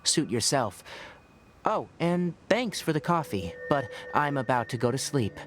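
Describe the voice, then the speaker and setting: An actor playing a calm, principled young forest ranger and scholar who speaks in a measured, gently lecturing way in a video game. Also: male voice, medium pitch, over music, natural voice. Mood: kindly.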